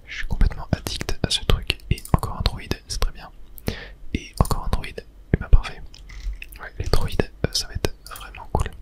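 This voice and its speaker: A person whispering softly through casual ASMR video game commentary, murmuring play-by-play with little filler words. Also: normal speed. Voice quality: soft unvoiced whisper. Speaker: gaming ASMR creator.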